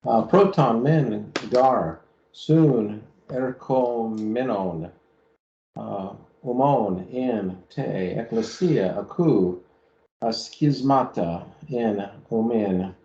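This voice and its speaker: A man reads aloud slowly and hesitantly, stopping between words. His low voice is gravelly and mellow. He is heard in an echoey room on a dull, muffled recording. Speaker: class member reading Greek text. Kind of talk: slow halting reading aloud.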